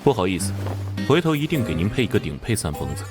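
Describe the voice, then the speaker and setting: An actor playing a brusque, hot-tempered police captain in an animated drama, with a gruff, commanding, sarcastic manner. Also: male voice, lowish voice, quick pace. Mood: dryly insistent. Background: music.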